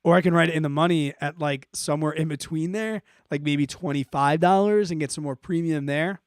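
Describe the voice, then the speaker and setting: A man's voice: on the high side, brisk, clear, resonant tone, dry recording, studio quality. A man hosting an investing live stream, explaining off the cuff in an informal, blunt way, thinking aloud and checking in as he answers viewer questions.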